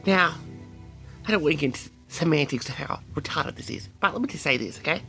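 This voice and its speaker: A man's voice: on the high side, animated, fairly quick, creaky, pushed and bright, soft background music, full character voice. A man playing a pompous, easily affronted eccentric who records a comic, theatrical audio diary for his audience. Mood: indignant, pompous. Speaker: audio log host. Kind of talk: comic rambling monologue to an audience.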